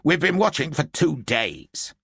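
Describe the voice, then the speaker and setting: A male narrator raises his voice into a gruff, impatient general, barking short orders with a lively, up-and-down delivery. The voice has a gravelly, husky edge, and the reading is close and dry. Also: a little high, unhurried, no room sound, pitched above the performer's own voice, exaggerated voice. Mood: brusque. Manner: gruff and brusque. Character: irritable, blunt middle-aged male British general.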